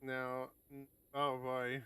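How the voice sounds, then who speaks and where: A man's voice: medium pitch, monotone, takes it slowly, fairly bright tone, smooth. A man reading aloud and chatting casually on a game stream, sometimes swearing in asides. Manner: casual, sweary asides between narrated passages. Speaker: host of a visual novel stream.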